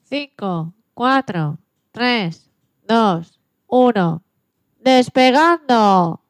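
A woman playing a mission control flight director, making measured, deliberate announcements in a radio play. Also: fairly high pitch, animated. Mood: counting, commanding. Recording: music behind the voice.

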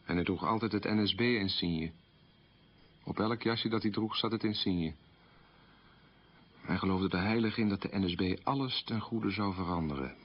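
Radio drama role: man recalling a wartime childhood, deep and slightly rough, short plain sentences. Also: moderate pace, long pauses, highs cut off. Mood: reflective. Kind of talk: radio documentary-drama monologue, reminiscing.

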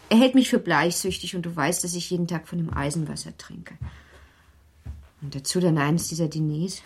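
A woman acting a young baron's wife in a German literary radio drama, genteel, earnest and quietly insistent. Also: lowish voice, slightly rough.

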